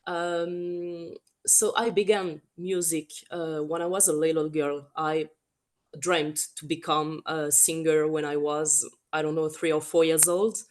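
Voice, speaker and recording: A woman's voice: sits low, fairly even intonation, unhurried. A French woman with a French accent, a singer answering radio interview questions in a hesitant way with many fillers. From France. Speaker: guest singer.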